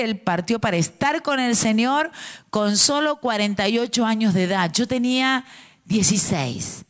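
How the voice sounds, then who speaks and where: A woman in her forties from Mendoza with an Argentine accent, preaching a sermon with fervent, drawn-out emphasis. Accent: Argentine Spanish. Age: 40s. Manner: fervent, drawn-out emphatic delivery with pitch swings.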